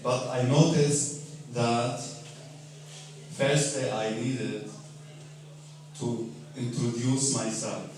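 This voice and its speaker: Slow, earnest man in an echoey room. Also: medium pitch, steady tone, a little mellow, slight background noise. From Lebanon. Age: 30s. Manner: slow, earnest and reflective, with restarts. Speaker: storyteller. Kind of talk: personal story read aloud. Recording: very echoey room, voice far from mic.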